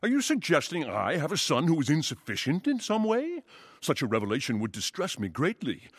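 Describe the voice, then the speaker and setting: A man's voice: on the high side, wildly swooping pitch, a little fast, breathy edge, no room sound, heavily acted. An actor playing a pompous, proud father swan in a children's story, with a resonant voice and flowery, oratorical delivery.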